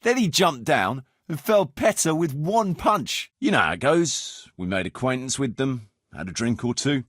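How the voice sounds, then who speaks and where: A man's voice: medium pitch, sing-song, normal speed, hardly any pauses, a bit bright, husky, dry recording, studio quality. A man playing a roguish, world-weary medieval mercenary captain in a video game, firm but fond, speaking bluntly and colloquially. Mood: wry storytelling. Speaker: game character, band leader. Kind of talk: video game dialogue acting.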